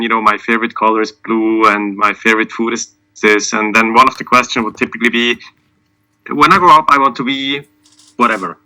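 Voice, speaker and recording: A man from Switzerland speaking as a panelist, giving an informal personal account in a halting, self-deprecating way. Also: mid-range voice, sharp tone, low-quality recording. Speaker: panelist, sports lawyer.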